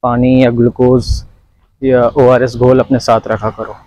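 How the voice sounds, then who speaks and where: A man's voice: medium pitch, little pitch movement, measured pace, dark tone, no room sound, muffled recording. A man vlogging as he walks outdoors, chatting to viewers and giving them casual, instructive advice. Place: outdoors, walking in the sun.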